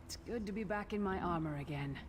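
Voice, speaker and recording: A woman's voice: mid-range voice, fast talker, noisy background, lightly acted. An actress voicing a proud, weary female warrior in a video game. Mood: resolute.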